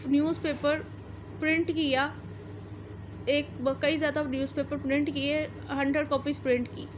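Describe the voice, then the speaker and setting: High-pitched Pakistani woman lecturing. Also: background noise. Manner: didactic, step-by-step, repeats and rephrases points. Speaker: law lecturer. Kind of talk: explanatory lecture, mixing English legal terms.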